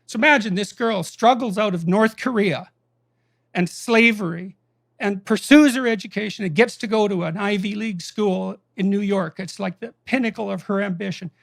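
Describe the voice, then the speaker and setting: A man's voice: high-pitched, moderate pace, creaky, dry recording, studio quality. A man telling a story to an audience in an emphatic way that builds to indignation. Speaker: speaker recounting a conversation. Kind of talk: impassioned storytelling talk.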